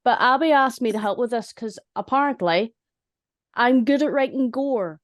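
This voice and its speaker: A woman's voice: a little high, normal speed, no echo, studio quality. A woman chatting casually in a group as a writer of audio drama. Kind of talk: casual group chat about projects.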